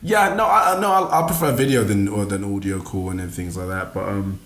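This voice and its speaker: A man from Nigeria chatting casually, halting and restarting often with lots of fillers. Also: lowish voice, fairly bright tone, slight background noise. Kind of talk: casual chat. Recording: echoey room.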